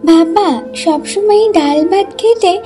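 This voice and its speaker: A woman's voice: very high voice, a little slow, music in the background. A woman playing a little village girl in a cartoon, childish and pleading with her father. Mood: wistful pleading. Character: little village girl, wistful and eager daughter. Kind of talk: Bengali cartoon voice acting.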